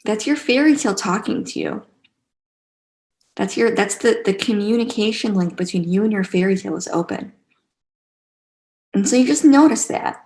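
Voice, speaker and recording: A woman teaching a spiritual class online in a casual, gentle and encouraging way, repeating phrases for emphasis, with a creaky voice. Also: medium pitch, moderate pace, pauses often, poor audio. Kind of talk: casual guided teaching to a live online group.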